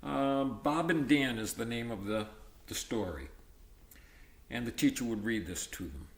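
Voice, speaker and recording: Man, slow delivery, teacherly textbook reading, informal explanatory asides, roomy echo. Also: mid-range voice, animated, vocal fry. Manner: explanatory, teacherly, checks in with "okay" and "all right". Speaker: audiobook reader adding his own explanations.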